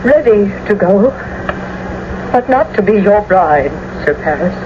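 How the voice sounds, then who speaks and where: A woman's voice: mid-range voice, animated, measured pace, close to an everyday voice. An actress playing a grieving, solemn noblewoman in a classical radio drama. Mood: solemn grief. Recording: muffled radio broadcast with heavy hiss.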